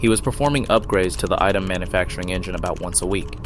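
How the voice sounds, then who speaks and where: A man acting as a first-person narrator of an eerie audio drama. His voice is low, and he tells the story in a scripted, confiding way. Music runs clearly behind him. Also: quick pace, natural voice. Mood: intrigued, ominous. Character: male storyteller recounting his programmer friend's eerie tale.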